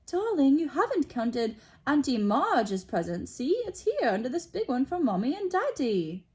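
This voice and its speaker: Woman putting on a high, fussy, doting mother. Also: sing-song, moderate pace. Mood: cooing, placating. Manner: cooing to her son, sharp with others. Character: doting, anxious, snappish suburban mother.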